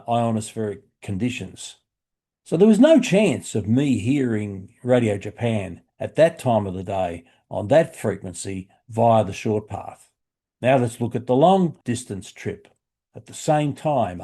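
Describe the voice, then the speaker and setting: Mellow Australian man explaining things. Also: medium pitch. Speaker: shortwave radio hobbyist presenter. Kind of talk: explanatory narration for a hobby video.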